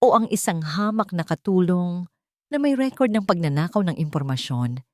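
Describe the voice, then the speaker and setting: Vengeful scheming fiancée, low female voice, menacing and taunting, audio drama villainess read by a woman narrator. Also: animated, normal speed, darkish tone, dry recording, clean studio sound, natural voice. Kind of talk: dramatic audio story acting.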